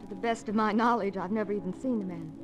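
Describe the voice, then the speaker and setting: Actress as well-off young American woman, mid-pitched, coy and guarded, classic radio drama. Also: fast talker, noisy background, natural voice. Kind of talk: 1950s radio drama acting.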